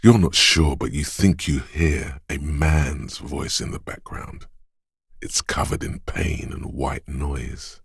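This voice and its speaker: A gravelly, grim inner voice of the senses. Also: male voice, rumbling low, huge pitch swings, dry recording, clean studio sound, exaggerated voice. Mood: brooding. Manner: slow, hushed, lingering on sensory detail. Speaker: voice of a game's inner perception skill. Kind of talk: second-person game narration.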